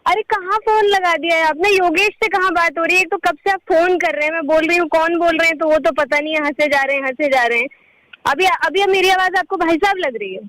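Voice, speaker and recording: A woman's voice: extremely high pitch, fairly quick, fairly bright tone, no room sound. A woman from India answering a phone call spontaneously, questioning the caller in an indignant way. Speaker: prank call recipient.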